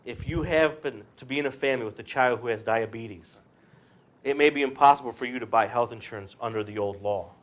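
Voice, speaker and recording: A man's voice: medium pitch, a little fast, natural voice. Young man as an emphatic senator. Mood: earnest, arguing. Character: earnest Democratic senator arguing in debate. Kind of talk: readers theater political speech.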